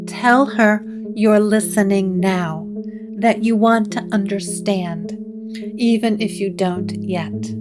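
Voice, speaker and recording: A woman playing a gentle, wise occult shop proprietor in a gothic audio drama, unhurried and reassuring. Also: mid-range voice, close to an everyday voice. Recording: clear music bed under the voice.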